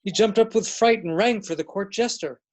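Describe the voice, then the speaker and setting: A storyteller narrates a fairy tale from a script. The voice holds a medium pitch throughout the reading. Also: moderate pace, a little mellow.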